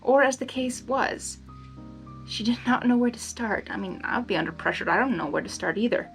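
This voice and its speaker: A woman reading a story aloud in an expressive manner, breaking into casual, exasperated reactions to the characters. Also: on the high side, normal speed, soft background music, poor audio. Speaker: fan fiction reader.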